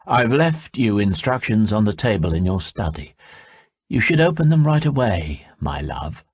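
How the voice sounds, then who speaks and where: A man voicing a smooth, menacing young male envoy who speaks low, level and slow. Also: big pitch swings, dry recording, studio quality, heavily acted. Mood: silkily menacing.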